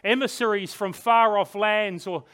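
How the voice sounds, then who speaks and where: A man playing a proud, wrathful king of Judah in a dramatic monologue, theatrical and emphatic with dramatic pauses. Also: high-pitched, fairly bright tone. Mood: stricken, grieving. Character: proud, wrathful king of Judah telling his own downfall. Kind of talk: dramatic first-person biblical monologue.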